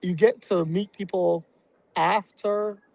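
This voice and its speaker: A man from Barbados, a guest on a podcast, talking through his plans in a reflective, halting way with many fillers. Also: high-pitched, fairly even intonation, gravelly, a little mellow, rough recording. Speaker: real estate investor and guest. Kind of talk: casual podcast interview.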